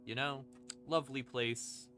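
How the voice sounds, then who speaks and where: Male lore streamer, unhurried and theatrical, punchy bright tone, informal storytelling with dramatic pauses over faint ambient music. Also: medium pitch, steady tone. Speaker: streamer explaining game lore. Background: eerie ambient music.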